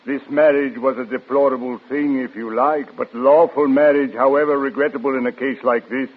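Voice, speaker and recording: Guy doing a stern priestly grand inquisitor, old radio play, medium voice, measured and bossy, thin sound. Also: medium pitch, fairly even intonation, breathy edge, lightly acted. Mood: commanding. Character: grave, commanding Spanish Grand Inquisitor, a priest. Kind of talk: old-time radio drama acting. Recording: muffled, narrow old radio recording.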